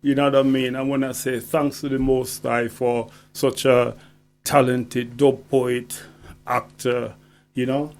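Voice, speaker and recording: A man talking as a poet guest on radio, deliberate and emphatic, often checking he is understood. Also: mid-range voice, little pitch movement, a little mellow. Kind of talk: conversational radio interview.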